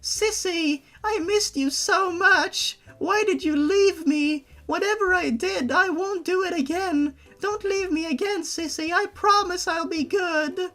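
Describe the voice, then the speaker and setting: High, whiny little girl. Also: a little slow, few pauses, pushed and bright, full character voice. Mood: tearful pleading. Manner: whiny, pleading, childlike. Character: small six-year-old girl, tearful and clinging.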